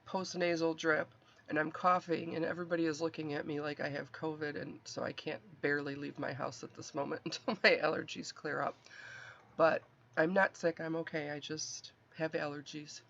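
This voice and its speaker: A nasal, congested man talks casually through a card-making demonstration and corrects himself as he goes. His voice sits a bit high with a gravelly edge. The recording sounds dull and lo-fi. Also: level tone, normal speed, a bit bright. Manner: chatty, rambling, self-correcting. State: allergies, stuffy. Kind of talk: casual craft tutorial narration. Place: craft desk indoors. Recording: dull lo-fi audio.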